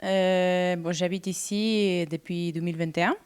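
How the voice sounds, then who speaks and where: A woman from Argentina, a singer interviewed on the radio, who searches for her words with many pauses and fillers. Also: fairly low pitch, steady tone, darkish tone, clear, resonant tone.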